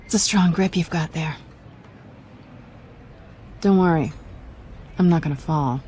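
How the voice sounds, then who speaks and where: A woman's voice: low voice, unhurried, warm tone. Teasing, self-assured woman over background ambience. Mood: playful. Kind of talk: cinematic game dialogue acting. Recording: ambient noise behind.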